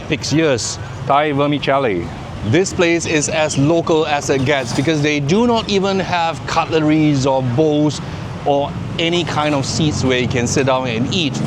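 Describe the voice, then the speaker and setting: A Singaporean man hosting a travel vlog, chatting upbeat to the camera at an outdoor night market. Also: mid-range voice. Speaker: travel vlogger host. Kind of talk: travel vlog narration and chat. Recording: noisy outdoor street recording.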